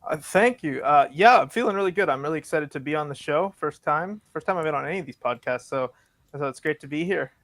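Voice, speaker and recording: A man's voice: a little high, lively intonation, quick pace, a bit bright, no echo. A young man from Canada, guesting on a podcast, answering in an eager, rambling way with light laughs. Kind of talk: casual interview answers.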